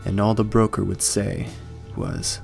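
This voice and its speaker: A man narrating scripted fiction in a measured, dramatic storytelling way. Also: lowish voice, little pitch movement, creaky, darkish tone, faint music behind.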